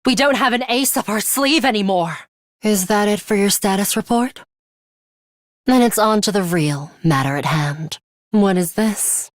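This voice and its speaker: A woman voicing a composed, devoted young female fighter in a video game, ruthless and teasing, with deliberate, poised delivery. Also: medium pitch, expressive, dry recording, studio quality, close to an everyday voice. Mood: brisk, commanding. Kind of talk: English video game voice acting.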